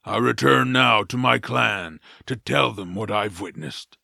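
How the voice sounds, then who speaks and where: A man's voice: lowish voice, unhurried, vocal fry, sharp tone, no echo, clean studio sound, heavily acted. A man playing a big, honorable clan warrior creature in an audio drama, speaking in a grave, formal, ceremonious way. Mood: solemn gratitude.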